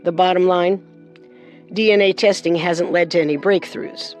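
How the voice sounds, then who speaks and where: A woman co-hosting a scripted true-crime program, speaking in a serious, deliberate way. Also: lowish voice, fairly even intonation, a little mellow, soft background music.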